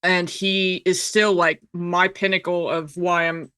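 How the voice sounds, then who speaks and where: A woman's voice: fairly low pitch, flat delivery, unhurried, pushed and bright, smooth. An American woman in her thirties telling personal stories casually as a podcast guest, candid and emphatic, often acting out quotes. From United States. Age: 30s. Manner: candid, chatty, emphatic, self-quoting. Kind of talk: casual personal storytelling in conversation.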